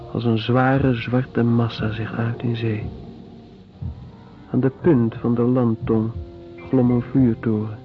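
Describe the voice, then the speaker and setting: Radio play: weary convict narrator, unhurried and descriptive, muffled sound with faint music. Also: male voice, medium pitch. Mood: somber. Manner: measured, descriptive, deliberate. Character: weary male prisoner recalling squalid penal-colony life. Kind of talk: radio drama monologue, literary narration. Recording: dull radio recording with music.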